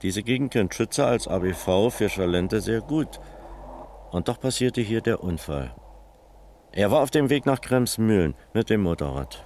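An actor playing a composed, probing police captain, courteous and measured, in a German radio crime drama. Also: male voice, on the low side, expressive, a little mellow, slight background noise, lightly acted.

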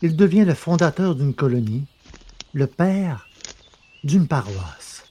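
A man narrating an old text as an audiobook in a solemn, declamatory, measured manner. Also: on the high side, very animated, dark tone, a little hiss or hum.

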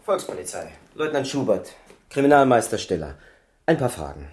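An actor playing a businesslike East German police lieutenant in a radio crime drama, asking clipped, matter-of-fact questions. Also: male voice, fairly low pitch, big pitch swings, normal speed, a little mellow. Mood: official.

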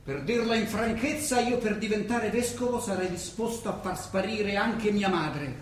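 An actor playing a scheming, servile church police official, emphatic and theatrical in a radio play. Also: male voice, sits high, normal speed, natural voice. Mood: sly, servile. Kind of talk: stage-style radio play acting. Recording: echoey distant stage sound, faint hiss.

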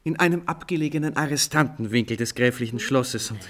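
A man's voice: mid-range voice, fairly quick, close to an everyday voice. Radio play lead, male actor: cheerful composer raconteur, middle register, florid, self-mocking, courteous. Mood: playful, confessing. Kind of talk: German radio play acting.

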